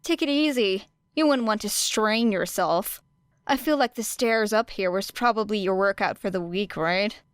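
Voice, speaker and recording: A woman's voice: medium pitch, normal speed, clear, resonant tone, no room sound, lightly acted. A woman voicing a guarded teenage girl who trades sarcastic jabs. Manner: sarcastic, stiff. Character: guarded snarky teenage girl hero student. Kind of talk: audiobook character reading.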